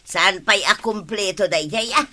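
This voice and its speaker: A woman's voice: mid-range voice, a little slow, pushed and bright. A woman playing a money-minded village fortune teller in Ilocano radio comedy, bossy and exclamatory with a Filipino accent. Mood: brusque, haggling. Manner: exclamatory, haggling.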